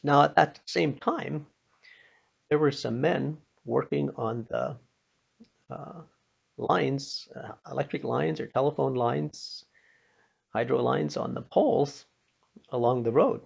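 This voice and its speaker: An older man with a North American accent and a gravelly voice, telling a personal story in an unhurried, plain-spoken, folksy way, as if passing on a lesson. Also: medium pitch. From Pennsylvania, United States. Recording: dull, muffled lo-fi recording.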